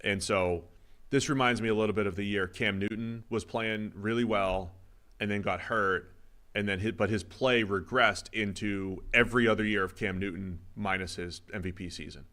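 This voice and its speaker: A man's voice: fairly low pitch, steady tone, moderate pace, bright, forceful tone. A man co-hosting a sports podcast, thinking aloud through football analysis in a loose, conversational way. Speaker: co-host. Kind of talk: casual sports analysis chat.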